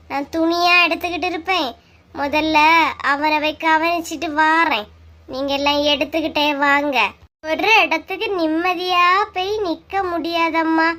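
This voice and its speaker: A woman voicing an excitable, bickering village woman in a comic cartoon, exclaiming and complaining in Kanyakumari Tamil slang. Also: high-pitched.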